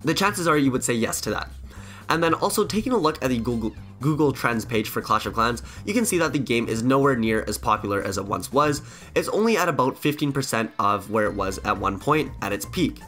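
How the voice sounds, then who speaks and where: Man with a cold, bright voice. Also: mid-range voice, lively intonation, brisk, faint music behind. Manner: earnest, opinionated, with frequent uh restarts. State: sick with a cold, voice affected. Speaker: gaming YouTuber. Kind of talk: scripted-ish commentary to camera.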